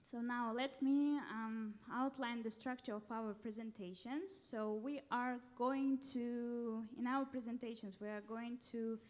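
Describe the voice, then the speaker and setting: A woman's voice: on the high side, fairly even intonation, unhurried, few pauses, darkish tone. A young woman from Uzbekistan giving a formal conference presentation into a handheld mic in a large hall, careful and hesitant with fillers.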